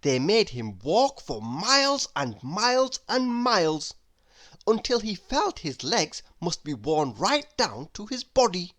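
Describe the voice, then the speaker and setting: A woman narrating a children's storybook aloud in an expressive, dramatic and deliberate way. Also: lowish voice.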